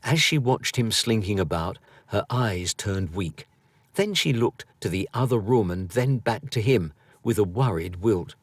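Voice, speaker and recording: A man reads a scripted story with theatrical flair. His fairly low voice takes its time and rises and falls with great variety. The sound is dry. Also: lively intonation, a little slow, dry recording. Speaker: audiobook narrator.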